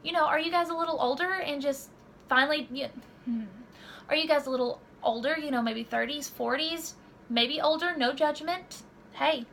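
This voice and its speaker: A woman's voice: sits high, normal speed, fairly bright tone, slight background noise. A woman in her early twenties chatting casually to her camera at home, rambling with many fillers and restarts. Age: 20s. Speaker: vlogger. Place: home room.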